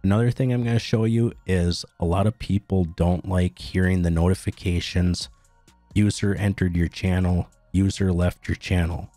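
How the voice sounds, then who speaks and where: Male tutorial narrator, very low and mellow, plain instructional delivery. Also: fairly even intonation, normal speed, no echo, faint music behind. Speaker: tutorial host. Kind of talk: step-by-step software tutorial narration.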